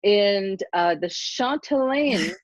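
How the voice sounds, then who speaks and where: A middle-aged woman from Germany hosting a podcast interview, excited and effusive as she introduces her guest. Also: mid-range voice, slow pace, no room sound. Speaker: podcast host. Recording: dull dry lo-fi sound.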